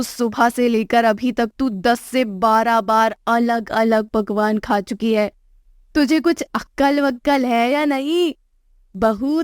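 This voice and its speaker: A woman acts out a middle-aged mother-in-law of a rich house, haughty and scolding. Her voice sits fairly high and is closely recorded with no room sound. She nags and insults in an exasperated cartoon-story manner. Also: smooth, dry recording, studio quality. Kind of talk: Hindi moral-story cartoon dialogue.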